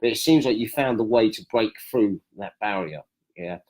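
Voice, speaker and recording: A man's voice: on the low side, moderate pace, a little mellow, rough recording. Guy hosting a live chat, upbeat, laughs a lot, lots of praise. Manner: enthusiastic, praising, laughs often. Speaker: host. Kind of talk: casual interview chat.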